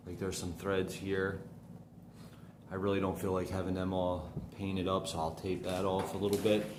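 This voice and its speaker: A soft-spoken man with a slight sniffle walks through a repair job in casual, rambling how-to talk, often correcting himself mid-sentence. His voice sits some way from the microphone in a very echoey room, and steady noise runs under it. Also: low-pitched, moderate pace. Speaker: DIY restoration vlogger. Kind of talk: casual how-to narration while working. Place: living room of a house, working on a frame. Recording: echoey room, far from mic, noisy.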